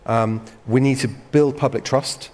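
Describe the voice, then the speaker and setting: A man's voice: mid-range voice, steady tone, measured pace, darkish tone. A man from the United Kingdom, a minister, speaking fluently in a panel discussion with some fillers. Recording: reverberant conference hall.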